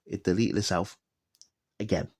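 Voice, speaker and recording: A man's voice: low-pitched, normal speed, darkish tone. A young man rambling casually and with enthusiasm about his old video games, with lots of fillers and asides. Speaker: gaming vlogger.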